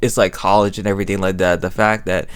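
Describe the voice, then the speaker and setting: A man's voice: low voice, flat delivery, quick pace, clean tone, faint music behind. A man hosting a casual, rambling recap and reaction, emotive and slangy, often repeating phrases for emphasis. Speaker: host reviewing an audio drama.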